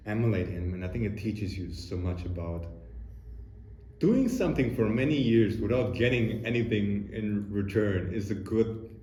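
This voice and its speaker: Mellow, reflective male podcast host. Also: fairly low pitch, lively intonation, moderate pace. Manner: reflective, asks long winding questions. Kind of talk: casual interview conversation. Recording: roomy echo with faint noise.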